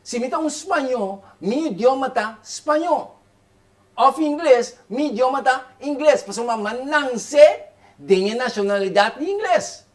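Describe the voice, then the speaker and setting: A man's voice: very high voice, expressive, unhurried. A man preacher delivering a fervent sermon, repeating phrases as he builds his point.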